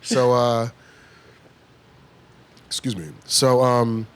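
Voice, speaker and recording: A man in his fifties from California, guesting in a comedy club bar. He tells lively anecdotes at a mid-range pitch and slips into the voices of the people he quotes. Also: very slow, a crowd in the background. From United States (California). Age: 50s. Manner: animated storyteller who acts out quoted voices. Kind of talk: casual interview chat.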